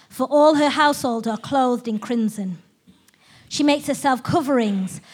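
Woman reading scripture aloud slowly. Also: sits high. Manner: warm welcoming, then slow measured reading. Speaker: host of a church study session.

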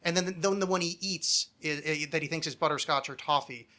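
A man's voice: on the high side, fairly quick, heavy background noise. An American man hosting a podcast with casual, rambling comedic chat, self-deprecating and freely swearing. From United States. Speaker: podcast host.

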